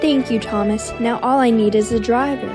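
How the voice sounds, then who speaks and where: A woman voicing a gentle, grateful female steam engine in a cartoon dub. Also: on the high side, fairly even intonation, normal speed, over music, lightly acted.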